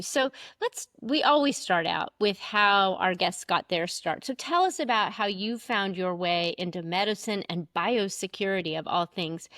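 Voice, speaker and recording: A woman's voice: mid-range voice, a bit bright, dry recording, clean studio sound. A woman co-hosting a podcast, graciously introducing and welcoming her guest. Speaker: podcast co-host. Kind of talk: podcast interview.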